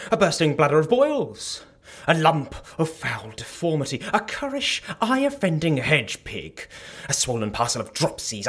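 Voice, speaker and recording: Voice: mid-range voice, a bit bright, husky, heavily acted. An actor playing a timid, stammering courtier in a comic audio drama, with theatrical archaic diction. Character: timid, trembling courtier who unleashes elaborate Shakespearean insults.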